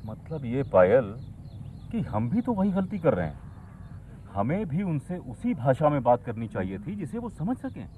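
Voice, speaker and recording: A man playing an earnest, patient young village outreach worker who gently persuades through questions and everyday examples. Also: mid-range voice, sing-song, moderate pace, slight background noise, close to an everyday voice. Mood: earnest, reassuring. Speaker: actor in an educational training film. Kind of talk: acted film dialogue. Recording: muffled, lo-fi film soundtrack.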